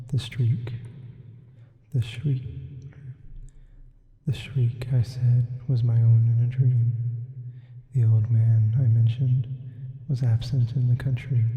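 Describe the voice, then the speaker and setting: Slow, whispery demon, played by a man in heavy reverb. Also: mid-range voice, narrow, tinny audio. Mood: hushed, sinister. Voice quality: breathy, often whispering. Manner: slow, hushed, deliberate. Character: sleep paralysis demon narrating a madman's confession. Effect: added reverb. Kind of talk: whispered ASMR horror story reading.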